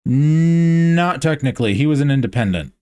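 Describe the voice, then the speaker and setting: A man in casual podcast conversation with a smooth, resonant voice, recorded close and dry. He talks in a relaxed, easy way, explaining historical details from memory. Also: on the high side, normal speed, dry recording. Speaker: podcast co-host.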